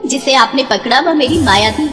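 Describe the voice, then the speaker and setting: A woman's voice: high voice, moderate pace, fairly bright tone, in a large hall, soft background music. A woman voicing a playful, teasing goddess and devoted wife in a devotional story, speaking sweetly and formally. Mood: playful, firm. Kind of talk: animated devotional story dialogue.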